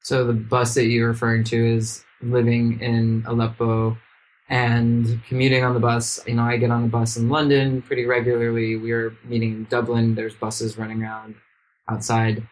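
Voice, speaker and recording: A man hosting a podcast interview in a hotel room, asking friendly, measured questions. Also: mid-range voice, completely flat delivery, rough recording. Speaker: podcast host.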